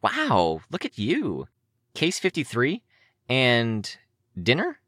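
A man's voice: mid-range voice, huge pitch swings, slow, slightly rough, no echo, clean studio sound, pitched above the performer's own voice, heavily acted. A man voicing a cheery young girl prodigy in a story, eager and morbidly clinical, with a bouncy, curious manner. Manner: bright, bouncy, gleefully curious.

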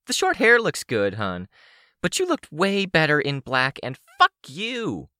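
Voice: medium pitch, huge pitch swings, unhurried, sharp tone, dry recording, voice pushed up, full character voice. A narrator voicing a furious, taunting young female supervillain with a shrieking, sneering, profane delivery.

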